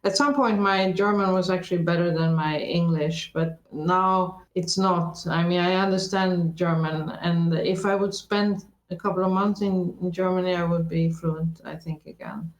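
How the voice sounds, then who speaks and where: Low-voiced Norwegian-born woman, echoey room. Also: fairly even intonation, normal speed, hardly any pauses, dull audio. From Sweden. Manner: thoughtful, frequent restarts and self-corrections. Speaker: interview guest, professor. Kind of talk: reflective conversational interview.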